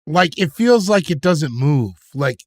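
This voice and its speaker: A man co-hosting a comedy podcast, riffing jokes in animated banter and trailing off into mutters. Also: a little high, measured pace, dry recording, studio quality. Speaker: podcast co-host.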